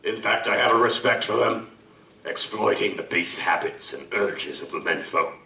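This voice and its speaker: Savage, gloating male fiend for radio-style drama: gravelly, grandiloquent menace, forceful edge, recorded in a roomy live space. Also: on the low side, normal speed, a little hiss or hum. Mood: menacing, philosophical. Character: savage, articulate monstrous male killer, gloating and contemptuous. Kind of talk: live audio drama acting. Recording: roomy live stage, distant mic.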